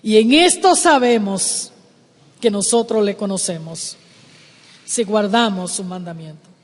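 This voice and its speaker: Woman preacher, measured and emphatic, repeats lines and rises to shouts, long church-hall reverb. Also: medium pitch. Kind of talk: sermon. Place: church sanctuary.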